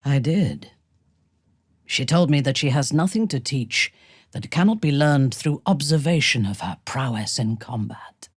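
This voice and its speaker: A woman playing a ruthless, imperious female dark elf warrior in a video game, with commanding, disdainful and measured delivery. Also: very deep voice, animated, breathy edge, dry recording, studio quality, heavily acted. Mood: grave, proud. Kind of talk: video game character acting.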